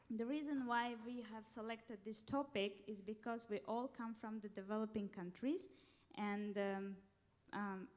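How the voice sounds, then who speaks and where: A woman's voice: fairly high pitch, a little mellow. A young woman from Uzbekistan giving a formal conference presentation into a handheld mic in a large hall, careful and hesitant with fillers.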